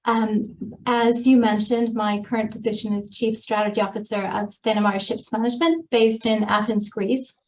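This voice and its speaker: A woman's voice: mid-range voice, steady tone, normal speed, few pauses, low-quality recording. A woman, giving a composed, businesslike answer on an online panel. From United States. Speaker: panelist, chief strategy officer. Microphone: video call microphone.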